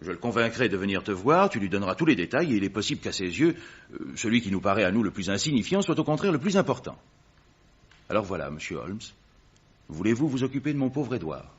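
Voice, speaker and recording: A man's voice: lowish voice, very animated, quick pace, slightly rough. An actor playing an anxious man who earnestly pleads for help for his brother in a vintage radio drama. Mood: pleading. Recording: dull old radio recording.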